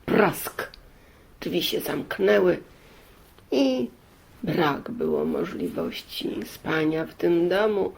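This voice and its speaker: A woman storyteller narrates a folk tale at a slow, deliberate pace. Her voice sits fairly low and has a gravelly creak. She leaves dramatic pauses as she goes. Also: wildly swooping pitch, a little hiss or hum.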